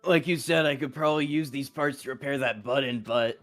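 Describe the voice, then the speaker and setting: A man's voice: mid-range voice, brisk, fairly bright tone. A man playing a game in a casual, informal way, sounding a little puzzled. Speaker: game stream co-player. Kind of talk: casual chat and reading game dialogue aloud. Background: game music.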